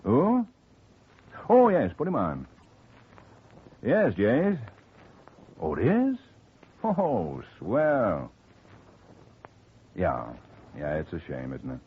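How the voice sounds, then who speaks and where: A man playing a smooth middle-aged lawyer in a 1950 radio drama, genial and chatty, quietly scheming. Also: fairly low pitch, wildly swooping pitch, extremely slow, pauses often, heavily acted. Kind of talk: 1940s radio acting. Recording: tinny faint-hiss old radio recording.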